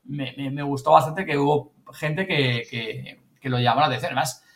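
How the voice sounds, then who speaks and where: A man's voice: medium pitch, normal speed, fairly bright tone. A man with an accent from Spain chatting casually and colloquially on a podcast. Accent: Spanish from Spain. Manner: colloquial, mildly sweary. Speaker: podcast speaker recounting an event. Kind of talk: casual chat.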